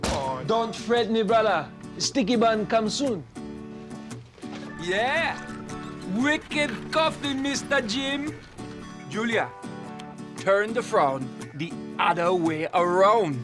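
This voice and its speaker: A man's voice: sits high, animated, slow pace, long pauses. An actor with a Jamaican accent playing a relentlessly cheerful, easygoing man in a comedic commercial. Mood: cheerful, soothing. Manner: sunny, laid-back. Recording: loud guitar music behind.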